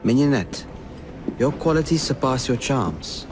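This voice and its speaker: An actor playing a gentle, bookish Victorian gentleman with a polite, formal manner in a video game cutscene. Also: mid-range voice, very animated, measured pace, music in the background. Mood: courteous, gentle. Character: Henry Green, courteous, bookish, gentle young Victorian gentleman. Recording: clear ambient noise behind dialogue.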